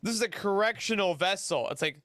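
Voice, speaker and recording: A man with a high-pitched, bright voice, recorded close and dry. He chats casually as a podcast panelist, with an enthusiastic, emphatic delivery. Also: fairly quick, dry recording. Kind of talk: casual chat.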